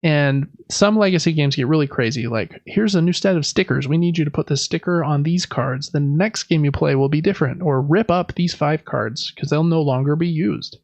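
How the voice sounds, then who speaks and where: A man's voice: a little high, moderate pace, few pauses, darkish tone, no echo. A man hosting a podcast, chatting casually and enthusiastically in colloquial language. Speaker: podcast host. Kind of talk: casual gaming podcast chat.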